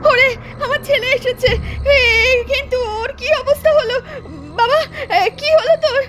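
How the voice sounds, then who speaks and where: A woman's voice: very high voice, steady tone, normal speed. A woman playing an anxious widowed mother in a horror cartoon, speaking in a plaintive, worried way. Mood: grieving. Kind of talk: Bengali horror cartoon acting.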